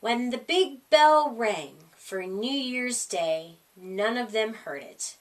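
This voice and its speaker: Woman storytime reader: highish, very expressive, unhurried delivery, a little off-mic. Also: far from the mic. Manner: expressive, deliberate phrasing. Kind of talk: children's storytime narration.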